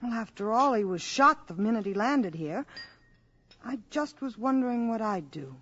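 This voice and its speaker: A woman playing a gentle, fair-minded young mother in a 1950s radio drama, polite and thoughtful. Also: on the high side, animated, normal speed. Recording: dull 1950s radio recording.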